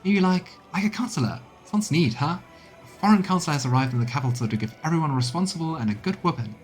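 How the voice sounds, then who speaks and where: A man's voice: fairly high pitch, expressive, fast. A man giving casual gaming commentary as a let's play streamer. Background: game music.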